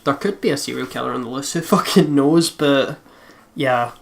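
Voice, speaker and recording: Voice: medium pitch, normal speed, vocal fry. A let's play co-host chatting casually and commenting on a game.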